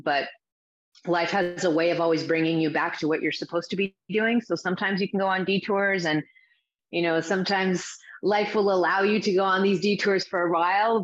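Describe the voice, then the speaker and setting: A middle-aged woman from the Azores talking as an interview guest, reflective and halting with many restarts. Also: lowish voice. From Azores, Portugal. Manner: reflective, with frequent restarts and fillers. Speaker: guest, spiritual teacher and coach. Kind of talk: interview answers. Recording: dull lo-fi sound.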